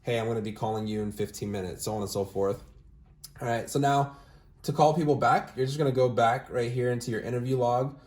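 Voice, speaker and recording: A man's voice: medium pitch, a little fast, darkish tone. A young man recruiting for a sales team, walking viewers through callbacks in a casual, upbeat way full of "all right?" and fillers. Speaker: recruiting manager demonstrating callbacks. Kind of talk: casual screen-share walkthrough, then phone interview. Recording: roomy echo.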